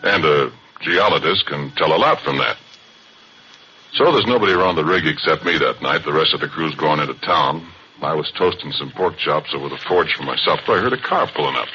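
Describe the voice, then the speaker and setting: An actor playing a middle-aged former oil roughneck who tells his story in a folksy, chatty monologue, in 1940s radio acting style on a muffled broadcast with hiss. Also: rumbling low, expressive, fairly quick, bright, forceful tone, exaggerated voice. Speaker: narrator.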